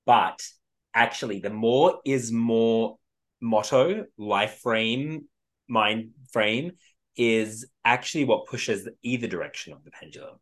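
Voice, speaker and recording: A man in easygoing conversation as a podcast co-host. He muses in a reflective, wandering way, circling back on his thoughts. His pitch sits at an ordinary middle level. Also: slow. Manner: reflective, meandering, trailing off and restarting. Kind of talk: casual spiritual chat.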